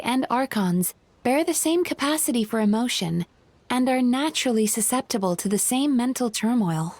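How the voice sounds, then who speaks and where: Caring female healer spirit for a game: high voice, calm, polite, thoughtful counsellor delivery. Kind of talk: video game voice acting.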